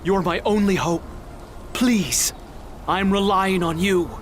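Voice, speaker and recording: An actor playing a humble, anxious old governor of ancient China in a video game, formal and pleading. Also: male voice, high-pitched, slow, slight background noise. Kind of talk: video game dramatic acting. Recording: voice under loud music.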